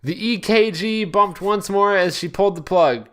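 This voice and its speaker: A man's voice: sits high, normal speed, fairly bright tone, clear, resonant tone. A man hosting a podcast, reading fan fiction aloud in a casual comedic way and stumbling over the odd word. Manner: often breaks into laughter, stumbles over words. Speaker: podcast host.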